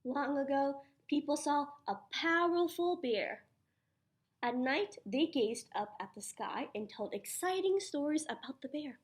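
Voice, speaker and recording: Woman teacher doing storytime for little kids, really high voice, takes it slow, upbeat and asks them questions. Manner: child-directed, asks questions and answers them herself. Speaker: preschool teacher reading to children. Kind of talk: read-aloud of a children's science picture book.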